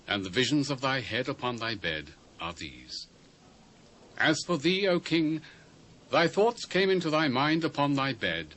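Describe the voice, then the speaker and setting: Solemn British prophet, sweeping delivery. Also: male voice, mid-range voice, fairly bright tone. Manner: grave, declamatory, archaic diction. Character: solemn male prophet declaiming a dream's meaning to a king. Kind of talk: dramatised scripture reading. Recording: noisy old cassette dub.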